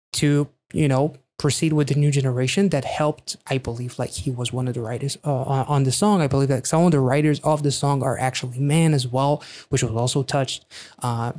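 A man in his thirties giving casual reaction commentary in a halting, thinking-aloud way, close on a studio microphone. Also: mid-range voice, a little mellow. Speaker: reaction video host. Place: small quiet indoor home studio.

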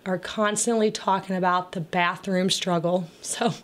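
A young woman with a raspy, crackly voice, chatting casually as a homemaking vlogger over her cleaning footage, rambling with fillers and little laughs. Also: fairly low pitch, steady tone, measured pace.

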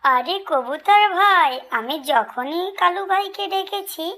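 A woman's voice: very high voice, lively intonation, slow pace, bright, forceful tone, soft background music, heavily acted. A woman voicing a small, sensible bird in a children's cartoon, earnest and admonishing.

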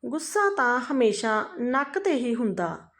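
A woman voicing a sharp-tongued, bitter, domineering mother-in-law in a narrated family story. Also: a little high, very animated, unhurried. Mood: sharp scolding. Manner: scolding, sharp.

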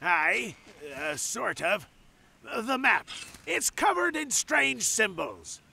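A man voicing a gruff, greedy cartoon pirate sailor with a stage pirate accent and archaic sailor's grammar. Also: high-pitched, sing-song, slow, exaggerated voice. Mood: grumbling. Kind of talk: animated comedy voice acting. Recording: loud music behind.

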